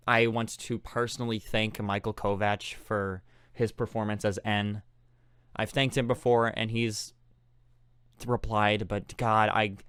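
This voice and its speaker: Guy with lowish smooth voice, super earnest n halting, real dry recording. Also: no room sound. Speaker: fan contributor, aspiring voice actor. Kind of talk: casual heartfelt tribute.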